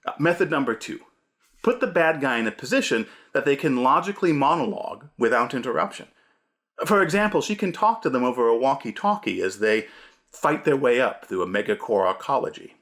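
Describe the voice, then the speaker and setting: A man's voice: medium pitch, a bit bright. A man hosting a scripted tabletop gamemastering lesson, speaking in an emphatic, theatrical, punchy way. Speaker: host, game master giving advice.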